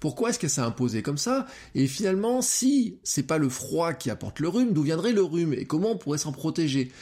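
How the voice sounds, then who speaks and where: A man hosting a solo podcast, explaining his ideas to listeners, often pausing with hesitations as he thinks aloud, speaking close to a microphone. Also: on the high side, sing-song, warm tone. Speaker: podcast host.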